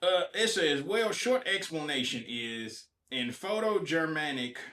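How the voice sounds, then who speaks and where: A man's voice: on the high side, expressive, unhurried. A man joking and teasing as a podcast co-host in casual chat. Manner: joking, teasing, loud bursts. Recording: echoey room.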